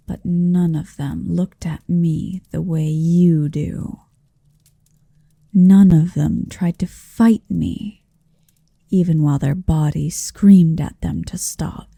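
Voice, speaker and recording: A woman's voice: lowish voice, creaky, mellow, slightly rough, no room sound, heavily acted. A woman playing a huge, possessive, motherly female dragon in an ASMR roleplay, tenderly domineering and teasing, often whispering close to the mic. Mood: admiring, possessive. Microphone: close-up microphone, dry.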